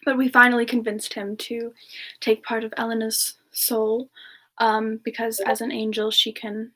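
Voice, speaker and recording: Slow, high-voiced gentle demon woman a woman acts out. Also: monotone, low-quality recording. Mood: wistful, tender. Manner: soft-spoken, hesitant, often chuckling. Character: gentle, romantic female demon reborn from a past life. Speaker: streamer answering viewer questions in character. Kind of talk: semi-ASMR roleplay Q&A.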